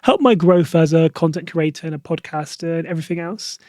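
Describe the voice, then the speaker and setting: A man's voice: fairly high pitch, a little fast, a little mellow, dry recording, clean studio sound. A young British man hosting a solo podcast, talking casually to camera and chatty, with lots of "like" fillers. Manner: chatty, frequent "like" fillers, opinionated. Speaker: podcast host.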